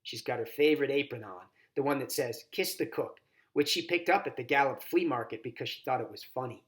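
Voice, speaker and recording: A man's voice: mid-range voice, fast talker. A man reading a children's novel aloud expressively, as a volunteer story reader.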